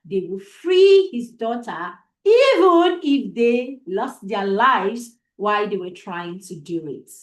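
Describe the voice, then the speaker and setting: A woman telling a children's story as host, deliberate and suspenseful, repeating phrases for emphasis, in an echoey room. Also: mid-range voice, big pitch swings. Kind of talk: dramatic oral storytelling for children. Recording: echoey, roomy sound.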